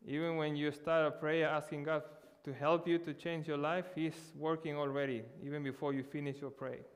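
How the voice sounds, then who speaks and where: A man with a somewhat high-pitched voice delivers a sermon to his congregation. He speaks earnestly and slows his words when he wants them to land. His voice rings with the long reverb of a church hall. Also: little pitch movement. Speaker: preacher.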